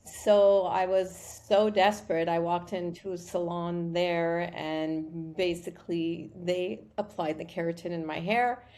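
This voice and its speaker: A woman from Morocco speaks as a guest on an interview show. Her voice sits fairly low. She tells her story in a chatty way and often breaks off to restart a sentence. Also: fairly even intonation, measured pace, few pauses, warm tone. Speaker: keratin product founder, guest. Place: Montreal.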